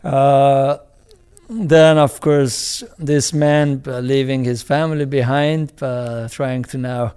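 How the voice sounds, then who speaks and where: A man with an Iranian accent, a film director speaking as an interview guest in a halting way, with many restarts, fillers and chuckles. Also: medium pitch, fairly even intonation, darkish tone, smooth. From Iran. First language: Farsi. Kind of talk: casual interview talk.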